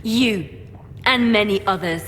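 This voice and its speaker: Actress doing serious shrewd advisor lady, measured, medium pitch, echoey hall, fantasy game. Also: fairly bright tone, a little hiss or hum, natural voice. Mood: suspicious. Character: cool, shrewd female advisor to a holy order. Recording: echoing hall reverb, faint music.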